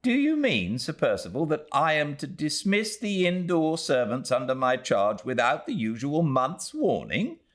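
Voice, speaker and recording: A man's voice: a little high, big pitch swings, measured pace, few pauses, fairly bright tone, voice pushed up. A man voicing a dignified, easily affronted Victorian woman housekeeper with a British accent, formal and stiffly deferential, in an audiobook. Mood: aghast. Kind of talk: audiobook character voice.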